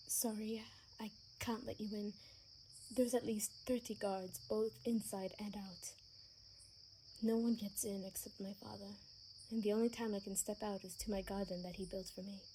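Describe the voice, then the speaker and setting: A woman acting a gentle, sheltered young vampire daughter in an ASMR roleplay, speaking in a soft, earnest, hesitant way. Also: mid-range voice, fairly even intonation, unhurried, pauses often, natural voice. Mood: soft, friendly. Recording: faint background noise with chirping crickets.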